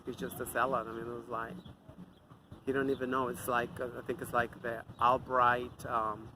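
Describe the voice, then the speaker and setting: A man's voice: mid-range voice, level tone, moderate pace, bright, forceful tone, a little hiss or hum, poor audio. Angry man ranting outdoors. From Mexico. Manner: angry, profane, scornful. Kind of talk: off-the-cuff ranting monologue.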